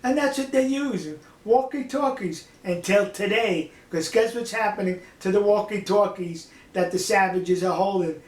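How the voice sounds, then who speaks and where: A man's voice: high voice, moderate pace, few pauses, roomy sound. An older man giving casual monologue commentary to viewers in a folksy, emphatic manner with rhetorical questions. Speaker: online political commentator.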